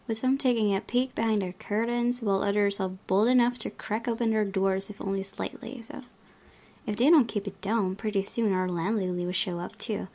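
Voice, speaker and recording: Woman, medium pitch, casual gaming host, halting, thinking aloud, playthrough commentary. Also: darkish tone.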